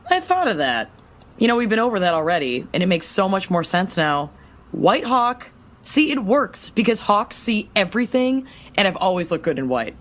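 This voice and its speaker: A woman playing an eager, excitable female superhero hacker and scientist in an audio drama, with an expressive delivery. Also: mid-range voice, moderate pace, close to an everyday voice. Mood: gleeful, proud.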